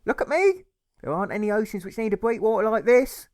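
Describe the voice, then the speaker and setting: A man's voice: high-pitched, sing-song, fast talker, darkish tone, voice pushed up. A man voicing a doting, exasperated mother who gushes and fondly scolds her little son in an audiobook. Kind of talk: audiobook character voice.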